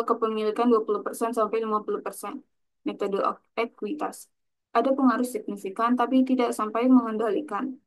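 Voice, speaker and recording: A woman's voice: mid-range voice, poor audio. A young woman giving a scripted explanatory presentation as a student, in a formal, recited manner. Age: young adult. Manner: formal, recited from prepared text.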